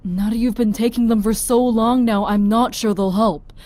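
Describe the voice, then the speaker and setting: Casting: actress as female apocalypse medic, fairly high voice, concerned, blunt, firm, audio drama delivery. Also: steady tone, normal speed, darkish tone, close to an everyday voice. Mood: blunt, exasperated. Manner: questioning, frank, firm.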